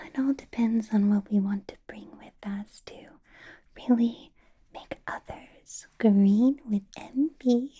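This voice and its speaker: A woman playing a possessive, doting vampire boss in an ASMR roleplay, in a breathy whisper with a deliberate, coaxing, playfully menacing manner. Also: high-pitched, warm tone, lo-fi sound, heavily acted. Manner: slow, deliberate, coaxing, teasing.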